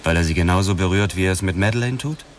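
A man's voice: deep voice, fast talker, slight background noise, lightly acted. An actor playing an insistent male police detective, questioning a witness urgently in a radio drama. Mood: probing. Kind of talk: dramatic radio play dialogue.

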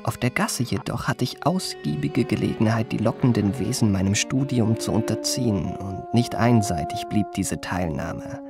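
A man's voice: fairly low pitch, moderate pace, gravelly, hardly any pauses. An actor playing an elegant young male confidence trickster who recounts his life in ornate, self-satisfied, mannered formal language for a literary radio play. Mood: confiding, suave. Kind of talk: literary first-person radio play narration. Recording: music behind the voice.

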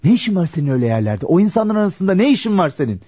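A brooding, principled young man in a radio play. The tone is dark and husky, with quick, earnest delivery. The recording is muffled. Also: high-pitched, big pitch swings, exaggerated voice. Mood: indignant. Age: young adult. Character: troubled, proud, morally strict young shoe repairman. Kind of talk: Turkish radio theatre acting. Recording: dull lo-fi radio drama recording.

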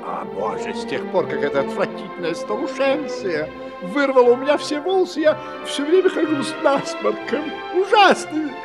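A man's voice: very high voice, very animated, moderate pace, hardly any pauses, music in the background. A man playing a fussy, flustered, self-pitying court master of ceremonies, lamenting and exclaiming in a comic fairy-tale audio play.

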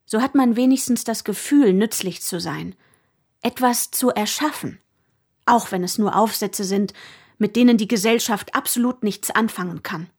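An actress playing an earnest, bookish young student who reads out her letters in a radio drama, with a husky voice. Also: mid-range voice, moderate pace, darkish tone. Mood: earnest, wistful.

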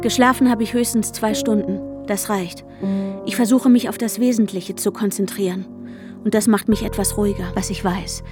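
Over a clearly audible music bed, an actress plays an anxious woman with an intense, hushed delivery. Her sentences are short and clipped, in the manner of a radio drama heroine who needs control. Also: mid-range voice, fairly even intonation, moderate pace, lightly acted. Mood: tense, brooding. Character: anxious, controlling woman searching for her vanished fiancé, narrating. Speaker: protagonist and narrator. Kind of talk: radio drama inner monologue and dialogue. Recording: music under the voice.

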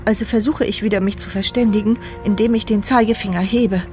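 A woman's voice: medium pitch, steady tone, brisk, a little mellow, faint music behind, lightly acted. An actress voicing the inner monologue of a paralyzed, mute young woman in a radio play, intimate and reflective. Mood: anxious.

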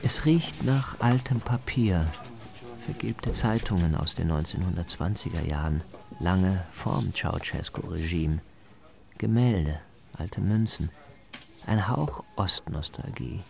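A man's voice: deep voice, expressive, takes it slowly, vocal fry, a little mellow. A man, narrating a scripted, atmospheric radio documentary as its reporter.

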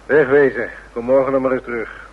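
Man as gruff doorman, muffled recording. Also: medium pitch, fairly even intonation, brisk, natural voice. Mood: surly. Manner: gruff, curt. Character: surly, suspicious male doorman of a crook. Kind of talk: radio play acting. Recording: dull, noisy old radio recording.

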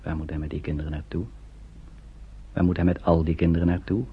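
An actor playing a sober, concerned narrator in a radio play, with a husky, gravelly voice. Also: male voice, low-pitched, flat delivery, quick pace, heavily acted. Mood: sober, probing. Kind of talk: scripted dramatic narration. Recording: dull old radio recording.

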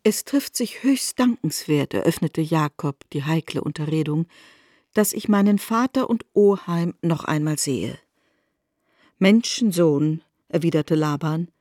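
A woman narrating a literary radio drama with articulate, expressive delivery and deliberate emphasis. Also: low-pitched, no echo, clean studio sound.

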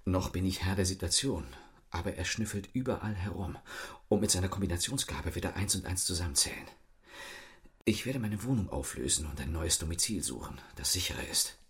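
Gentleman thief, deep voice, intimate and earnest, expressive melody, radio drama. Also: brisk. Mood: wary, urgent. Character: tender, secretive gentleman thief, worried lover.

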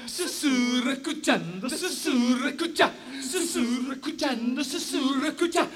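A man from Uruguay performing experimental sound poetry for radio, chanting repeated words that move from whispers to shouts. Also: very high voice, lively intonation, a little slow, faint music behind.

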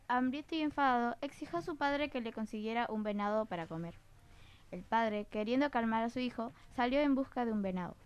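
A woman's voice: on the high side, clean tone. Venezuelan schoolgirl reading a legend. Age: child, about 10. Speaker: student storyteller.

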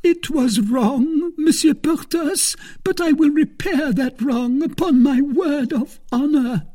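A man's voice: very high voice, slow pace, warm tone, pitched above the performer's own voice. A man voicing a flustered, anxious middle-class wife in an audiobook novel, pleading and apologetic. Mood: distressed, contrite.

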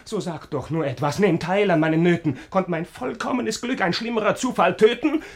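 An actor playing an ardent, anguished young lover, declaiming impassioned rhymed verse in a radio play. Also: male voice, sits high, normal speed. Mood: pleading.

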